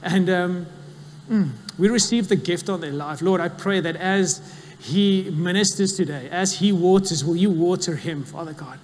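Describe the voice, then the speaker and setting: A man, praying earnestly over guests from the pulpit, with halting pauses. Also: high voice, a little mellow. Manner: earnest, halting prayer with repeated "God". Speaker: pastor leading prayer. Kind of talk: spoken prayer. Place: church hall. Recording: long church reverb.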